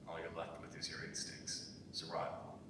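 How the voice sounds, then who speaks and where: A man playing a haunted, guarded war veteran in a staged reading, speaking in a measured, brooding way. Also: completely flat delivery, natural voice. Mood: somber, brooding. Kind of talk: staged dramatic script reading. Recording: very echoey, distant, low rumble.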